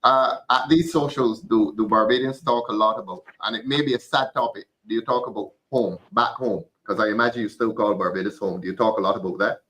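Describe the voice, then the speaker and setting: A man's voice: on the low side, fairly quick, hardly any pauses, fairly bright tone, poor audio. A man hosting a live talk show, asking questions in a curious, playful way. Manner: inquisitive, with playful asides. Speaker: host.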